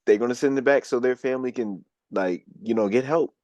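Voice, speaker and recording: A man's voice: medium pitch, a little fast, a little mellow, dry recording, highs cut off. A young American man co-hosting a podcast, talking bluntly and profanely in a casual chat. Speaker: podcast co-host.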